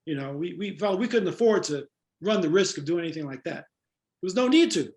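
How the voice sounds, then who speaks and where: Older American man, high creaky voice. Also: lively intonation, a little fast, a little mellow, roomy sound. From Jamaica-born, raised in New York. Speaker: interview guest, former club promoter. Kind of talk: conversational storytelling interview.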